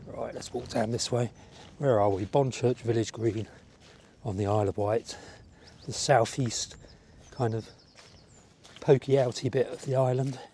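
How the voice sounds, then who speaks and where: A man with a slightly croaky voice from a cold, chatting casually and musing aloud as he walks along outdoors. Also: mid-range voice, steady tone, measured pace, mellow, a little hiss or hum. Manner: rambling, musing aloud to the viewer. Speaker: vlogger. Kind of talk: casual outdoor walking vlog chat. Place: outdoors, walking by a village road and seafront. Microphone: small wireless clip-on mic.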